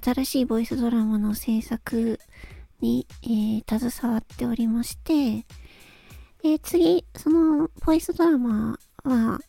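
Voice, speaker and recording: A woman hosting a solo talk, speaking casually and reflectively to listeners in a polite, gentle, hesitant way as she thinks aloud. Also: on the high side, fairly even intonation, slow, vocal fry, no room sound. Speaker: solo host, amateur voice actress. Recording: close dry recording with background music. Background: background music.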